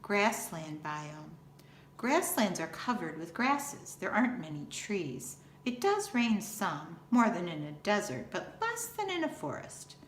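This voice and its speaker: Slow-paced woman reading to children, echoey room. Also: on the low side, sing-song. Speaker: school teacher reading aloud. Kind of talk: children's picture book read-aloud. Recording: roomy echo, faint background noise.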